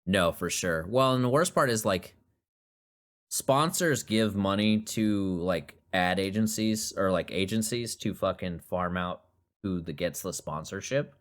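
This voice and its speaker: A man's voice: low voice, a little slow. Casual swearing young man. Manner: swears freely, jokey, rambling. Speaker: podcast host. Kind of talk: casual chat.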